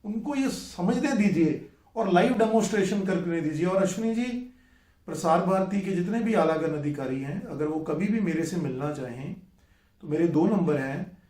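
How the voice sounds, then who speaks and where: A man from India speaks in a somewhat high-pitched voice as he makes an impassioned appeal to his viewers. He presses each point emphatically, often repeating it, and drops English words into his speech. The room around him rings with strong echo. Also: animated, normal speed, darkish tone. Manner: mixes English words into Hindi. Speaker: radio enthusiast video host. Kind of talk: impassioned direct-to-camera appeal. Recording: echoey, reverberant room.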